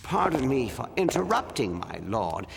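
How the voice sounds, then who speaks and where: A man's voice: medium pitch, sing-song, normal speed, creaky. Casting: an officious, grovelling henchman for a game, swooping delivery, noisy recording in a reverberant hall. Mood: deferential. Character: obsequious, officious henchman of a lord. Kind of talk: dramatic video game voice acting.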